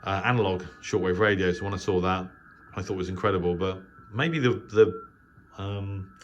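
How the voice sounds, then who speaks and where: Deep-voiced English man, noisy room. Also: steady tone, moderate pace, highs cut off. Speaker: shortwave radio hobbyist and channel host. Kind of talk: casual unscripted tour narration. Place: small spare bedroom radio shack, indoors. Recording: clearly noisy home room recording.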